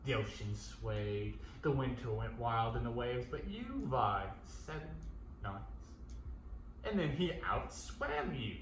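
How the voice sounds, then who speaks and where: A man's voice: mid-range voice, a bit bright, voice pushed down. A man playing an envious, scornful warrior, declaiming taunts in theatrical verse with emphatic delivery. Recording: roomy echo, faint noise.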